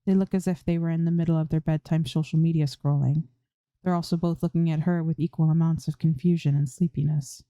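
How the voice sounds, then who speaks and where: A woman's voice: sits low, fairly even intonation, brisk, mellow, dry recording. A woman reading fan fiction aloud as scripted audiobook-style narration, close to the microphone.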